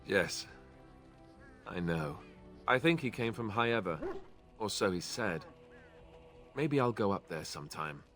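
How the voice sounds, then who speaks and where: An actor playing an earnest, grieving young warrior in a video game, speaking in a halting, sincere, subdued way. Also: male voice, lowish voice, big pitch swings, fairly bright tone, faint music behind. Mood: grieving, regretful. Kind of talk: video game character acting.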